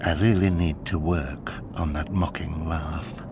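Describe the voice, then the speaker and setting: A man's voice: fairly even intonation, a little slow. An actor playing a gloating evil sorcerer in a video game, drawing out his words with theatrical scorn. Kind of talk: video game villain acting. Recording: clearly noisy, low rumble behind.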